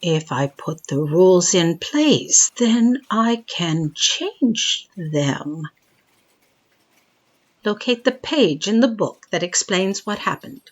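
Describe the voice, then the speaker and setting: An actress playing a forgetful, tender-hearted boss in an audio drama, with a dithery, dramatic delivery. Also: female voice, fairly low pitch, lively intonation, slow pace. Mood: indignant, commanding.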